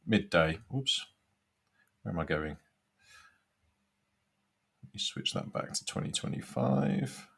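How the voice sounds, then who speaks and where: A man's voice: lowish voice, fairly bright tone. A man giving an informal step-by-step product demonstration, hesitating and thinking aloud as he goes. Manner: hesitant, thinking aloud while tapping through steps. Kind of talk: informal screen-by-screen tutorial.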